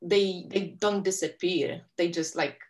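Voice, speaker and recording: A woman's voice: on the low side, steady tone, measured pace. A woman hosting a casual interview, asking friendly, rambling questions full of fillers like "you know". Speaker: interview host.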